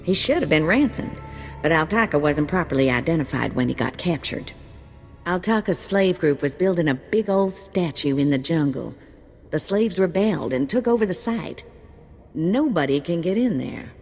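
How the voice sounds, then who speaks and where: A woman's voice: low voice, lively intonation, moderate pace, darkish tone. A woman playing a folksy, wry bounty handler in a video game, matter-of-fact and slangy. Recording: reverberant, with background music.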